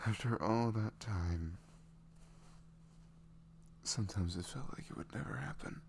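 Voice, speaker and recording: A man playing a nervous, adoring boyfriend in an intimate ASMR roleplay, breathy, halting and awestruck. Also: on the low side, a little mellow, slight background noise. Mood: overjoyed, awestruck. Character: nervous, adoring young boyfriend meeting online love.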